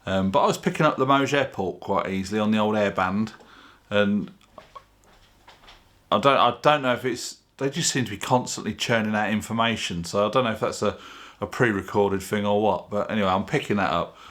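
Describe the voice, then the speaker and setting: Low-voiced man chatting casually to camera. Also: a little fast, fairly bright tone. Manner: chatty, informal, plain-spoken. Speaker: video channel host.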